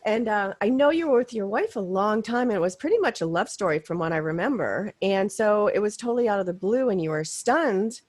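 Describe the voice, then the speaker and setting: A woman hosting a podcast interview, asking measured, caring questions. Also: mid-range voice, lively intonation, darkish tone. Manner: brief affirming responses, measured questions. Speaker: host.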